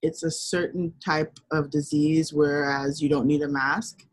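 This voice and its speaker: A woman's voice: low voice, fairly even intonation, a little slow. A woman co-hosting a radio show who works as a nurse, explaining in long run-on sentences. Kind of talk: casual interview chat.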